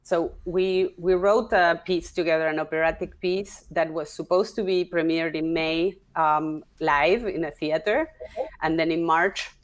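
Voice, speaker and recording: A woman, a guest talking through her career in a relaxed interview, pausing and restarting as she thinks. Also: low-pitched. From Argentina. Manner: halting, restarts often, thinking aloud. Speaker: guest, opera singer and stage director. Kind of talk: casual interview conversation.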